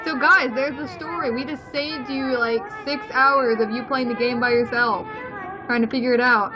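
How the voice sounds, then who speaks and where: A woman's voice: sits high, fairly even intonation, moderate pace, lo-fi sound. A woman co-hosting a let's play, giving casual, informal and opinionated gameplay commentary. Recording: game music behind the voice.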